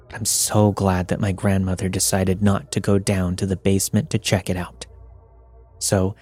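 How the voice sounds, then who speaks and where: Guy narrating a scary story podcast, lowish voice, reading from a script w music under it. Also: darkish tone, dry recording. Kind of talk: scripted narration of listener-submitted horror story.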